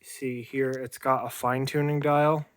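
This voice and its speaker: A man giving an unscripted show-and-tell as an amateur radio hobbyist, halting and often repeating and rephrasing himself. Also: medium pitch, little pitch movement. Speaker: amateur radio hobbyist demonstrating homemade gear.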